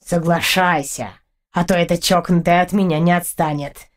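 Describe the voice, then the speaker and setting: A woman's voice: on the low side, steady tone, moderate pace, voice pushed down, lightly acted. A woman voicing a boastful, grumpy talking male wild cat in an audiobook, with a cocky, grumbling delivery. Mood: boastful, commanding.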